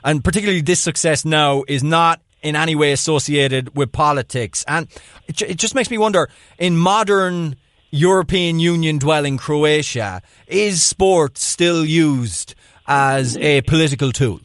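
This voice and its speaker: A man hosts from a studio and asks measured, thoughtful questions. His voice is slightly high, and the room sound is dry and close. He sets up each question carefully before he asks it. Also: a bit bright, no room sound. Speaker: studio host. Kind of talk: podcast interview questions.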